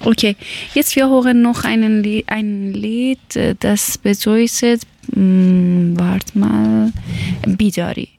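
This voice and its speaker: A woman who speaks slowly and keeps stopping to hunt for the right word. Her voice stays flat, with little rise or fall, and the sound is close and dry. She talks like someone casually interviewing a child. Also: mid-range voice, creaky, no room sound. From Iran. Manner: hesitant, searching for words.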